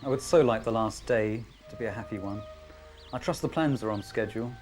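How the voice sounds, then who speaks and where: A man's voice: lowish voice, fairly quick, darkish tone, natural voice. A man playing a courteous, nostalgic male village chief in an amateur audio drama, speaking with old-fashioned politeness. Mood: wistful. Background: music.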